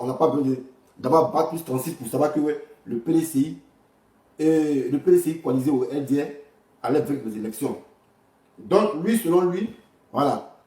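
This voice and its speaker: A man's voice: mid-range voice, darkish tone, distant mic. A man giving casual, improvised political commentary in an insistent, repetitive, colloquial way. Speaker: online commentator reacting to political clips. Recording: echoey room, off-mic.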